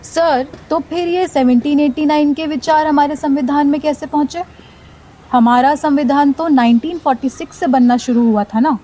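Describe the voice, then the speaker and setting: A woman's voice: high-pitched, normal speed, dark tone, a little hiss or hum, close to an everyday voice, rough recording. A woman with an Indian accent playing a curious, respectful young university student in conversational radio play dialogue. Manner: inquisitive, respectful.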